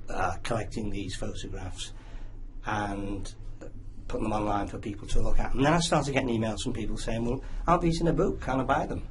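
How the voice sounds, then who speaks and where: A man's voice: on the low side, fairly quick. A man with a Liverpool accent, an interview guest telling stories conversationally and repeating words for emphasis. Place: small community radio studio. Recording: clear background noise, room ambience.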